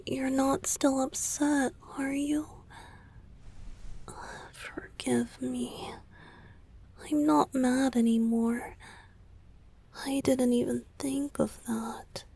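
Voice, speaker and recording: A woman voicing a young male idol boyfriend in a romantic ASMR roleplay, tender and clingy, speaking in an intimate, hesitant, breathy whisper. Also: sits high, flat delivery, very slow, long pauses, exaggerated voice. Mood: apologetic, tender. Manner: soft, hesitant, pleading, confiding.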